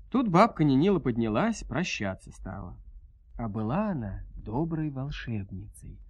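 A man's voice: medium pitch, dry recording. A man narrating a folk tale as a scripted audio story, in a theatrical, folksy and dramatic manner.

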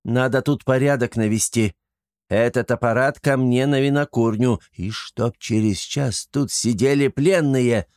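A man voicing a sharp, bossy young wife who teases and orders people about in a high falsetto. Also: measured pace, no room sound, clean studio sound, lightly acted.

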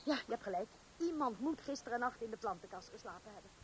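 An anxious Dutch wife in a radio play, high and husky, talking quickly. She is affectionate but fretful, full of doubting, pleading questions. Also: lively intonation. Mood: concerned. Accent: Netherlands Dutch.

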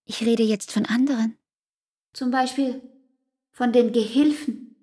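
An actress playing a weary, pleading young woman in love in a German radio drama, intimate and breathy, slipping into whispers. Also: fairly high pitch, steady tone, unhurried, mellow, lightly acted. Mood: urgent, reproachful.

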